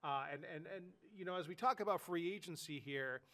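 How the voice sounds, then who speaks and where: A man's voice: on the high side, a little fast, pushed and bright. A man co-hosting a sports podcast, nasal from a bad cold, talking casually with lots of fillers and restarts.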